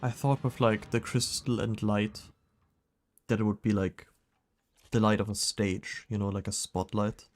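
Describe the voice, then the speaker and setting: A man's voice: lowish voice, steady tone, a little slow. A man streaming a video game, chatting casually and musing aloud in a self-deprecating way as he plays. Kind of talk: casual gameplay chat, reading clues aloud.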